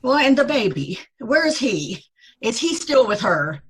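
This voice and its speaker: A woman's voice: fairly high pitch, very animated, a little slow, husky, full character voice, low-quality recording. A woman acting a composed, polite older mother-in-law in an old-time radio drama. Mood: concerned, cool.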